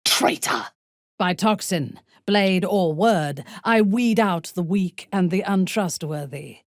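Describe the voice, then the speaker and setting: A woman playing a ruthless, imperious female warrior commander in a fantasy video game, measured and haughty, with a husky, breathy voice and coldly seductive menace. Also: lowish voice, no echo, studio quality. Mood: proud, resolute.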